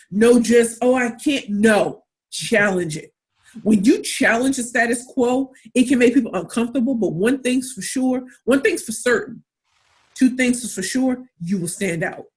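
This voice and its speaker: A woman with a St. Louis accent coaching a workshop on pitching, speaking emphatically and repeating key phrases for punch. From St. Louis, Missouri. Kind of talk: motivational workshop talk.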